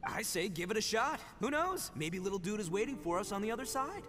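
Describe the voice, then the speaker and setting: Brash, swooping young oni boss. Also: male voice, high voice, big pitch swings, fairly quick, loud music behind the voice. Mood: cocky. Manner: swaggering slangy tough-guy talk. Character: brash, dim, big-hearted young male oni gang boss.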